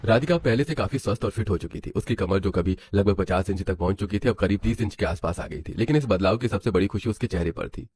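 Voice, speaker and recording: A man's voice: fairly low pitch, quick pace, hardly any pauses, darkish tone, husky. A man narrating a scripted story. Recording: music under the voice. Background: background music.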